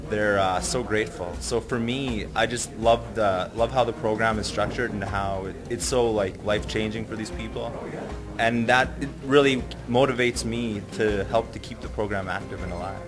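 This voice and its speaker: Low-voiced young man, sincere. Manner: warm, sincere, lightly rambling. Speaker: committee co-chair. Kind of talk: interview testimonial.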